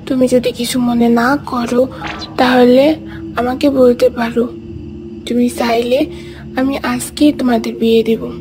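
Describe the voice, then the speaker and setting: Casting: woman playing a fussing old village mum for a cartoon, high voice, music behind. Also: flat delivery, a little slow, long pauses, close to an everyday voice. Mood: kindly, coaxing. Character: kindly elderly village mother fussing over her son. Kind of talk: cartoon story acting.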